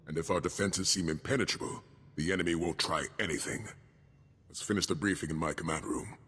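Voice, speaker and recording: An actor playing a stern, seasoned army colonel in a video game, middle-aged, with clipped and authoritative delivery. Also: male voice, low-pitched, fairly even intonation, a little fast, a bit bright, breathy edge. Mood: grave, commanding. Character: gruff seasoned male army colonel, stern strategic advisor. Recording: music behind the voice.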